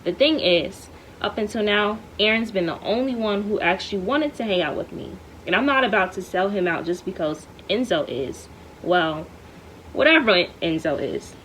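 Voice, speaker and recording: Woman, low-ish voice, expressive family read-aloud, faint room noise. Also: rough recording. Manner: lively, lifts her voice for quoted dialogue. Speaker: parent reading aloud to her children.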